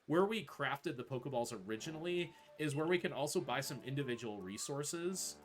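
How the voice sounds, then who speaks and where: A man's voice: fairly high pitch, lively intonation, a little fast. A man playing through a game speedrun while casually explaining his route live, with music behind him.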